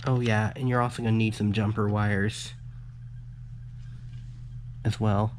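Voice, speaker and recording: A man's voice: fairly low pitch, flat delivery, a little slow, a little hiss or hum. A man giving a casual hands-on electronics tutorial, informal and muttering the odd aside as he works. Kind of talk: casual hands-on how-to narration.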